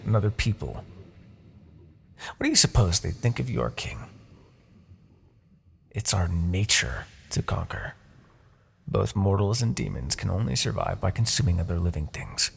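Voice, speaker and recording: Man voicing a gravelly, imperious demon king. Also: fairly low pitch, very animated, a bit bright, exaggerated voice. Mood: imperious, persuasive. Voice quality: gravelly, husky. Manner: theatrical, deliberate, imperious, with dramatic pauses. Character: proud vengeful demon king, grandiose, coaxing, menacing. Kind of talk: fantasy ASMR roleplay monologue.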